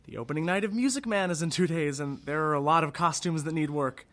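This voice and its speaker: An actor playing a polite, nervous theatre costumer who speaks hesitantly and deferentially, with dry candour, in an audio drama. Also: male voice, fairly high pitch, fast talker, a bit bright, lightly acted. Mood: dry, resigned.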